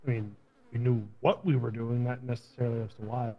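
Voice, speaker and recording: Slightly dull voice-chat audio carries a man acting a captured henchman in an online game. The voice is medium in pitch. The answers are casual and evasive, given flatly as fact without any remorse. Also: brisk, natural voice. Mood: guarded, matter-of-fact. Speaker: role-player in an online game. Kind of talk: improvised roleplay interrogation.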